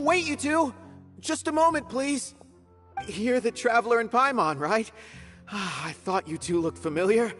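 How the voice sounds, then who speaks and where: A man's voice: extremely high pitch, measured pace, fairly bright tone, soft background music. A man voicing a polite, concerned academy investigator in a video game, with a courteous, earnest delivery. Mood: urgent.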